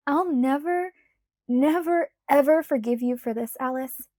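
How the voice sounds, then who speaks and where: Exasperated, stubborn young woman, read by a woman; slow, protesting delivery. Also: high voice, expressive, mellow, no echo, studio quality. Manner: groaning, protesting.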